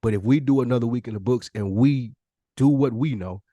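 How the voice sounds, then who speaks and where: A man's voice: medium pitch, a little fast, mellow, no echo, dull audio. A man co-hosting a podcast in casual, earnest talk, using slang and profanity and often voicing imagined quotes. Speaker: podcast co-host.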